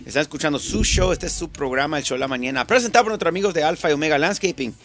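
A Venezuelan man hosts a morning radio show in an upbeat, joking way and rattles off ad copy at speed. His voice sits a bit high for a man. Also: a bit bright. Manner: jokey, upbeat, reads ads quickly. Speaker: radio host. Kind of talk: casual morning radio chat.

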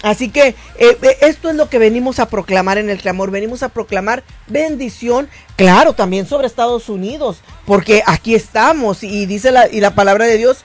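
A woman's voice: on the high side, normal speed, hardly any pauses, faint music behind. A woman pastor on a church program, speaking fervently and declaratively with frequent restarts.

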